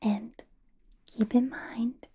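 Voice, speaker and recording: A woman playing a possessive, doting vampire boss in an ASMR roleplay, in a breathy whisper with a deliberate, coaxing, playfully menacing manner. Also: fairly high pitch, level tone, mellow, a little hiss or hum. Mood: coy, secretive. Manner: slow, deliberate, coaxing, teasing.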